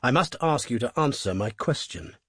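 Man reading as a gentleman robber, polite, terse, determined, slightly husky, audiobook character. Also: medium pitch, lively intonation, moderate pace, no room sound, studio quality. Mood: stern. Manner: polite, terse, quietly commanding.

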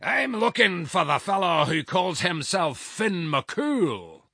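A man's voice: on the high side, very animated, unhurried, bright, forceful tone, husky, no echo, clean studio sound, pitched above the performer's own voice, full character voice. A man voicing a huge, ugly, blustering giant in a storybook, roaring and growling with impatience. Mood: furious, roaring.

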